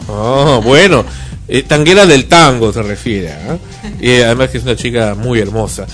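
A man's voice: mid-range voice, expressive, normal speed, fairly bright tone, faint music behind. A man hosting a radio talk show, chatty and joking, with many fillers. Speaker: radio show host and director. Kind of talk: casual radio chat.

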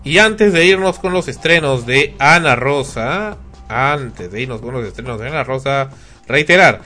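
A man's voice: mid-range voice, expressive, unhurried, pushed and bright, faint music behind. A man co-hosting a casual radio talk show, repeating himself and joking as he goes.

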